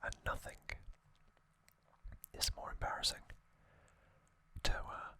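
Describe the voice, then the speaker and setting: A man hosting ASMR, explaining trivia informally in a soft, unhurried, breathy whisper. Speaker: ASMR video host.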